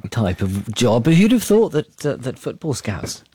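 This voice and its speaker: A man anchoring the news from a studio and moving briskly between segments. He drops in informal asides, and his mid-pitched voice sounds dry and close. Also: very animated, mellow, breathy edge, no echo.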